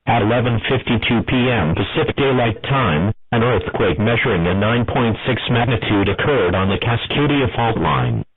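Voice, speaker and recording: A man reading a scripted emergency broadcast warning as an announcer, in a formal, measured, impersonal way over thin radio-alert audio. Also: lowish voice, slightly rough, dry recording, narrow, tinny audio.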